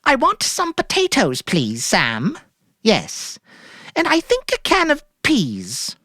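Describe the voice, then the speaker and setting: A narrator voicing a housewife who speaks in a bright, sing-song way with put-on cheer. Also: mid-range voice, huge pitch swings, slow, dry recording, clean studio sound, voice pushed up, exaggerated voice. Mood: bright, rehearsed cheer. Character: Mary Maloney, bright falsely cheerful housewife.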